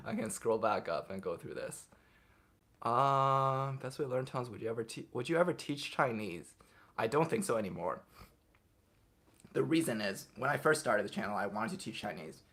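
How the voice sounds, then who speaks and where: A young man hosting a casual livestream, reading viewers' comments aloud and answering them off the cuff in a relaxed way. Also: medium pitch, husky. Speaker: livestream host answering chat.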